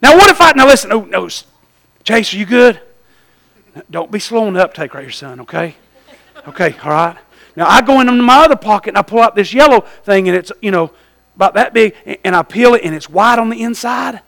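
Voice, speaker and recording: A male preacher with a Southern US accent and an extremely high-pitched voice. His tone is bright and forceful. He delivers a church sermon in a folksy, urging style, leaning on repeated phrases and direct questions. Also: animated, fairly quick, gravelly.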